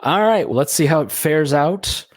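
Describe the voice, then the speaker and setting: A man with a raspy, worn-out voice, hosting a casual political podcast in a loose, conversational way. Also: a little high, lively intonation, no room sound. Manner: loose, conversational, quick to joke. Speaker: podcast host.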